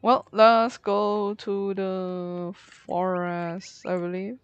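A woman's voice: mid-range voice, level tone, drawn-out words, smooth, no room sound, muffled recording. A woman giving casual gameplay commentary, thinking aloud in short reactions. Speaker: gaming video creator.

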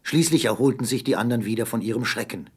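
A man's voice: medium pitch, fast talker, darkish tone, husky. A man narrating an adventure audio play as a scripted, dramatic storyteller.

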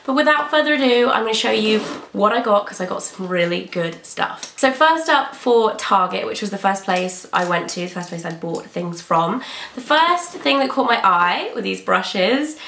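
A young British woman chatting through a beauty haul, her voice slightly croaky from a cold. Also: medium pitch, expressive, moderate pace, fairly bright tone, echoey room, a little hiss or hum. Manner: chatty, gushing about products. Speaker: beauty vlogger. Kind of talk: casual haul video chat. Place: bedroom filming set indoors.

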